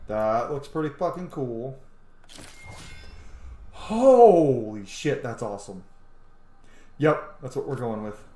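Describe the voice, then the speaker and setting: Animated male gamer commentating in an echoey room. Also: mid-range voice, takes it slowly, long pauses. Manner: informal, sweary, thinking aloud. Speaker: gaming video host. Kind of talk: casual let's play commentary. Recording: echoey room with faint background noise.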